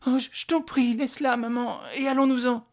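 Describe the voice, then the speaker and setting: A man voicing a gentle, frightened young boy pleading softly with his mother in an audiobook story. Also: very high-pitched, fairly even intonation, brisk, voice pushed up. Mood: anxious pleading. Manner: pleading, soft.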